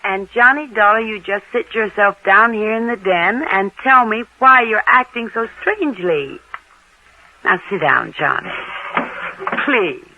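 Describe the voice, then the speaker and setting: An actress playing an anxious, evasive wife in a 1950s radio drama, urgent and coaxing. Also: female voice, mid-range voice, animated, a little slow. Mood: strained, coaxing. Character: anxious, evasive young wife, female, firm and pleading. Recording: noisy, muffled old radio recording.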